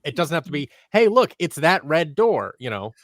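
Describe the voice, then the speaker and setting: A man's voice: a little high, very animated, normal speed, a bit bright, no room sound, studio quality. A man in his thirties chatting casually as an interview guest, animatedly acting out bits of imagined dialogue. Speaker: guest, audio drama creator. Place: small office, indoors, on a video call. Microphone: close boom-arm microphone, headphones.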